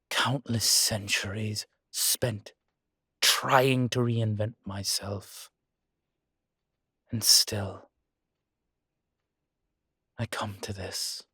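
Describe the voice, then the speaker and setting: A man playing a guilt-ridden, centuries-old vampire in an audio drama, speaking gravely and formally with weary, deliberate pauses. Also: fairly low pitch, steady tone, sharp tone, no echo, clean studio sound, heavily acted. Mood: weary, guilty. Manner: slow, grave, deliberate phrasing with long pauses. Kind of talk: dramatic audio roleplay acting.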